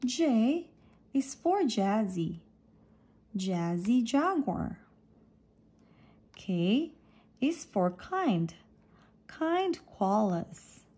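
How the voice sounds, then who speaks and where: Slow, high-pitched woman reading to children. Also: sing-song, mellow, husky. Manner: deliberate, separates each letter and phrase. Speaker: reader of a children's picture book. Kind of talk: read-aloud of an alphabet picture book, teaching letters and words.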